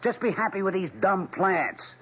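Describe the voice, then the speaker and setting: A domineering American husband in his forties in an old radio play. He is blunt and impatient, with a husky, fairly high voice that swings widely. The recording is dull. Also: expressive, moderate pace, fairly bright tone, heavily acted. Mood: irritated, scornful. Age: 40s. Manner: curt, dismissive, blunt. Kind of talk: vintage radio drama acting.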